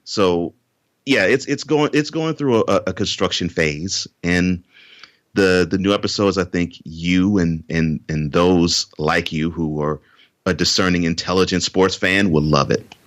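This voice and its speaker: A man with a very deep voice speaks earnestly as a guest on a podcast. He grew up in Houston, Texas, and often repeats a word before his sentence gets going. The sound is dry, with little room echo. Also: a little slow, fairly bright tone. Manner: earnest, repeats words when starting sentences. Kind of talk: interview conversation.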